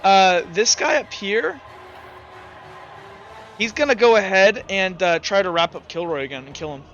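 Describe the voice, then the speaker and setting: A man's voice: sits high, normal speed, fairly bright tone, muffled recording. A man acting as game master in a tabletop roleplaying game, talking casually and swearing freely. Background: background music.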